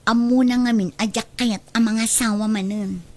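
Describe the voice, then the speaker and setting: A woman's voice: a little high, steady tone, moderate pace, close to an everyday voice. A woman acting a young adult daughter in a radio drama, anxious and nosy, with a questioning, pleading manner. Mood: worried, confiding. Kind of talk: amateur-style radio drama acting in Ilocano.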